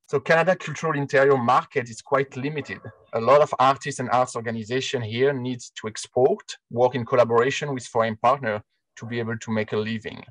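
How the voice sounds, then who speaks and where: A man from France with a clear French accent gives a talk over a video call. His delivery is hesitant and full of "uh" and "um". His voice sits at a middle pitch and sounds dry and close through his headset. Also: fairly even intonation, fairly quick, fairly bright tone, no echo, rough recording. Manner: hesitant, many "uh" fillers. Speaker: arts council presenter. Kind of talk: online presentation.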